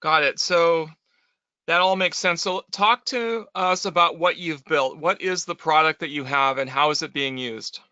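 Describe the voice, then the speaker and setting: A man hosting a webinar interview in a measured way, on slightly dull band-limited audio. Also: on the high side, bright, forceful tone, clean tone, no room sound. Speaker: host. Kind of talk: webinar interview, scripted introduction then conversation.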